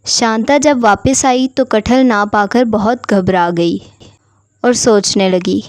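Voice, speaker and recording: A woman's voice: medium pitch, takes it slowly. A woman narrating a scripted story for children.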